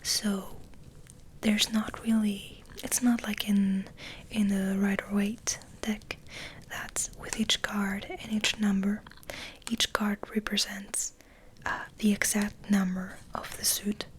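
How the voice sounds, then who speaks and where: A woman hosting ASMR, rambling in a soft, breathy, hesitant whisper. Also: medium pitch, little pitch movement, takes it slowly, slight background noise, low-quality recording. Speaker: ASMR video host.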